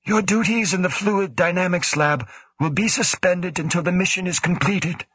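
A man's voice: high voice, moderate pace, bright, forceful tone, slightly rough, no echo, pitched above the performer's own voice, heavily acted. A man voicing a stern, formal male station director who speaks in clipped, commanding sentences.

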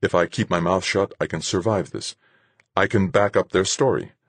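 A male narrator plays an anxious explorer in a space adventure audiobook. The voice is low and husky, lifted a little from the narration, tense and earnest. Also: normal speed, no echo. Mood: anxious, calculating.

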